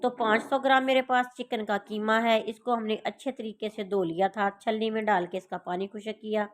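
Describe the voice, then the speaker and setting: A woman's voice: mid-range voice, fairly even intonation, a little fast. A woman with a sore throat casually narrates a recipe step by step, addressing her viewers directly as a home cook hosting her channel. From Pakistan. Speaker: home cooking channel host.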